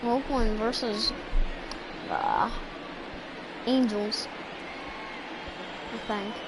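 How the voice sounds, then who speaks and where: A woman's voice: fairly high pitch, very animated, extremely slow. A woman chatting casually and playfully over a baseball video game she is playing, teasing and trash-talking. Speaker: game player. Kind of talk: casual live-stream chatter. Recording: noisy game stream audio.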